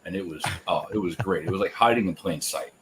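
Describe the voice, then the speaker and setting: A man joining a live podcast over a video call, telling a story in a relaxed, plain-spoken way. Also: sits low, fast, slight background noise, poor audio. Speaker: podcast guest, new content creator. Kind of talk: casual live-stream chat.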